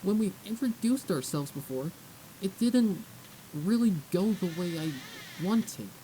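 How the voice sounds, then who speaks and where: A man's voice: high-pitched, animated, a little slow, warm tone, a little hiss or hum, voice pushed up, rough recording. A man voicing an awkward young female pony in a storybook reading, hesitant and eager to please. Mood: flustered. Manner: stammering, hesitant.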